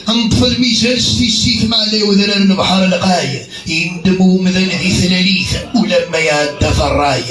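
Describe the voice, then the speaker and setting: A man's voice: sits high, a little fast, few pauses. A man recites poetry as a poet performing his verse. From Kabylie, Algeria. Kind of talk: poetry recitation over music. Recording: roomy echo, music behind. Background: music.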